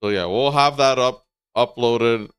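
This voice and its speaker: A man's voice: medium pitch, unhurried, a bit bright, smooth, no room sound, clean studio sound. A man giving casual live-stream commentary as he plays a game. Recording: faint game music behind. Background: game music.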